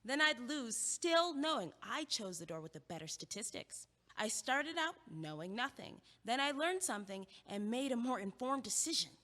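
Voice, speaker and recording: Woman acting as quirky female student, young, highish bright voice, argumentative, sardonic, audio-play delivery. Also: sing-song, moderate pace. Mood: argumentative, confident. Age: young adult. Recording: long echoing hallway reverb.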